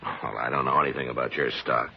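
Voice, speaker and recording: Steady Old West lawman, very deep voice, American, laconic, measured, dull noisy old radio recording. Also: vocal fry. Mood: calm, obliging. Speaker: actor in a radio western. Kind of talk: old-time radio drama acting.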